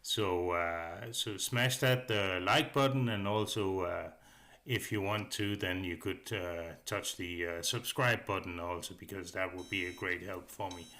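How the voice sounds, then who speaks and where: A man giving a casual hobby review as a voice-over, hesitant, with many pauses and filler sounds. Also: low-pitched, fairly even intonation, sharp tone. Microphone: voice-over microphone.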